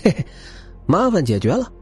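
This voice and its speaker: A cocky young male fighter from an audiobook, as a male narrator puts him on. He is easygoing and nonchalant, with lively swinging intonation and a husky edge to a lightish voice. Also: on the high side, normal speed, soft background music, full character voice. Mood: smug, playful.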